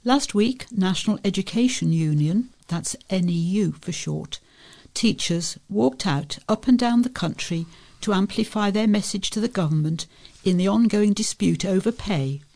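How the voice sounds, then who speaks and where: Creaky-voiced woman reading local news. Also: mid-range voice, normal speed, warm tone. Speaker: volunteer newspaper reader.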